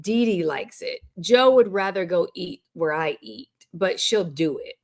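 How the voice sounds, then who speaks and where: A woman's voice: lowish voice, lively intonation, unhurried, a little mellow. A woman co-hosting a casual live Q&A with her husband, easygoing, often laughing and teasing him. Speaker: podcast co-host.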